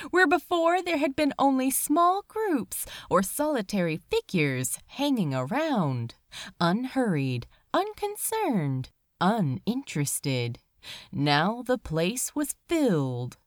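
Slow, expressive woman narrating a story. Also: mid-range voice, dry recording, clean studio sound. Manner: measured, expressive storytelling with dramatic pauses. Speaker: amateur audiobook reader. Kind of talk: dramatic scripted narration of fan fiction.

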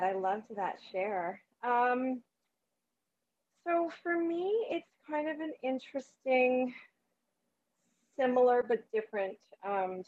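Earnest woman, lo-fi audio. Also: medium pitch. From Canada. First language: Spanish. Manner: earnest, reflective, with pauses and fillers. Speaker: panel speaker. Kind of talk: casual group chat on social audio.

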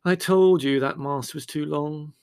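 A man's voice: medium pitch, animated, brisk, breathy edge. A man voicing an irritable, sarcastic and patronising grown brother, peevish and unctuous, in a story reading. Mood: smug.

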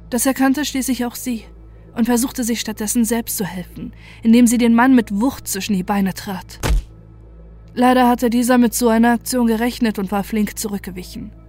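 Female horror narrator, mellow and dark, grave measured storytelling, scripted, light music bed. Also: a little high.

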